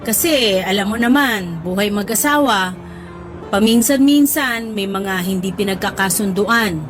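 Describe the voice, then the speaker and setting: A woman acting a doting middle-aged mother in a radio drama, teasing and gently scolding her grown son. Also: medium pitch, a little slow, over music, lightly acted. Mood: reassuring.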